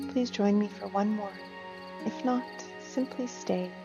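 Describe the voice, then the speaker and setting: A woman leading a guided meditation as a yoga teacher, gentle and soothing, with soft phrasing and long unhurried pauses. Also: mid-range voice, vocal fry. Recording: muffled, long reverb, music and insect sounds.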